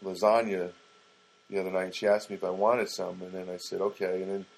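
A man's voice: low voice, level tone, a little fast, vocal fry, a little mellow, poor audio. A middle-aged man casually telling a rambling, wry personal story and acting out what people said. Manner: rambling, wry, acts out quoted lines.

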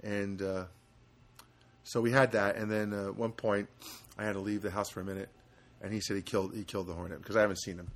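A man hosting a casual solo podcast from a studio apartment, rambling and halting with many fillers. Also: lowish voice, little pitch movement. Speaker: podcast host.

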